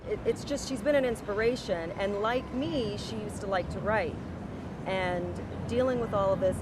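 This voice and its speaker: Earnest woman talking over loud city noise. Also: lowish voice. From South Africa. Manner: earnest, halting, many pauses and restarts. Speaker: blogger sharing a personal story. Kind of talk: unscripted personal talk to camera. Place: outdoors in a city park with sirens and roadworks.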